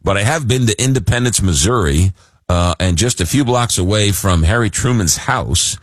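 A man hosting a radio call-in show, talking casually and haltingly in a droll way, slightly hoarse from a cold. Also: lowish voice, animated, no echo, studio quality. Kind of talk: casual live talk radio monologue. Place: radio studio. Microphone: close broadcast mic.

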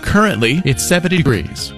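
A man's voice: on the high side, very animated, fairly quick, over loud music. A man reading a scripted weather forecast as a radio meteorologist.

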